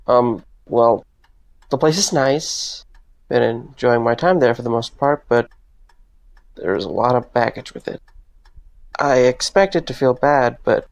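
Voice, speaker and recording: A man acts out a weary male security guard in an audio drama. His voice has a gravelly fry and a mid pitch, with dry, sardonic delivery that can turn hesitant.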